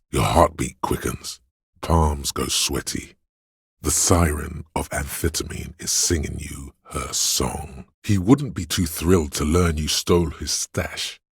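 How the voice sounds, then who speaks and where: Very deep, gravelly sleazy tempter. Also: male voice, no room sound, studio quality, exaggerated voice. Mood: seductive, coaxing, then wry, goading. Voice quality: gravelly, husky. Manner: coaxing, indulgent, theatrical. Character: inner voice of addiction, sleazy hedonistic male tempter. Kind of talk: video game character voice lines.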